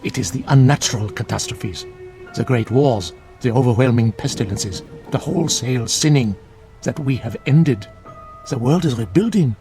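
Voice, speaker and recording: An actor playing a grave, fervent older monk in a radio drama, speaking in formal, measured, preachy phrases. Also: male voice, medium pitch, mellow, soft background music, lightly acted. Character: solemn bearded older monk, fervent guardian of truth. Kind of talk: classic radio drama acting.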